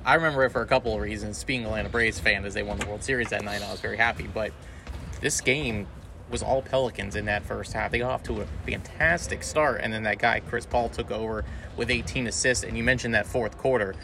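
A man's voice: lowish voice, steady tone, fast. A man hosting a sports podcast in an upbeat, conversational style. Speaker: podcast host, sports broadcaster. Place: sports arena before a game. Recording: clear urban ambient background noise.

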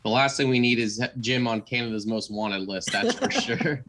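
A man's voice: mid-range voice, normal speed, a bit bright. A man hosting a sports podcast in an upbeat, enthusiastic and conversational way.

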